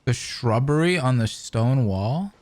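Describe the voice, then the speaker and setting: A woman's voice: a bass voice, animated, measured pace, a little mellow. A woman giving casual, conversational reaction commentary as a gamer playing through a game.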